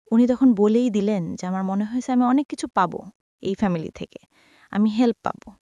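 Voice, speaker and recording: Bangladeshi woman telling her story, higher-pitched voice. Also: warm tone, no echo. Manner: acts out reported dialogue while narrating; mixes English words into speech. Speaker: guest telling own life story. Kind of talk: personal storytelling on a radio show.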